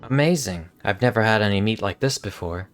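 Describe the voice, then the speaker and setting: A man's voice: on the low side, expressive, a little fast, soft background music, lightly acted. A man voicing an eager, easily amazed young woman traveller from a video game. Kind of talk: video game character read aloud.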